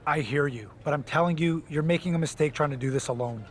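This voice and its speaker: A man's voice: on the high side, fast talker, lightly acted. A man playing a pragmatic wrestler ally in a video game cutscene, frank and wry as he reasons and cautions. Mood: cautioning.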